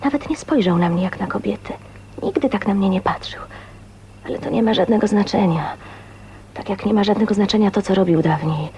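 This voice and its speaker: Actress doing a shy dreamy spinster around 29, wistful n emotional, hesitant, radio play with a bit of noise. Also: female voice, medium pitch, brisk, dull audio, close to an everyday voice. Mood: hushed, awestruck love, then rapturous resolve. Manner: dreamy, emotional, hesitant phrasing with breathy whispers. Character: shy, dreamy 29-year-old spinster, wistful and newly in love. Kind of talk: radio drama acting, intimate monologue and dialogue. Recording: faint noise, 1980s radio drama.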